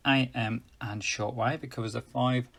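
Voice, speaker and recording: A man's voice: medium pitch, steady tone, moderate pace, vocal fry, fairly bright tone. A man presenting a casual hands-on gadget review in a rambling way, often correcting himself and getting slightly tongue-tied.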